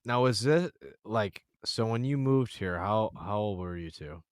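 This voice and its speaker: A man in his early twenties hosting a podcast, asking casual, excitable questions and swearing freely. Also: lowish voice, unhurried, no echo, studio quality. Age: 20s. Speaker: podcast host.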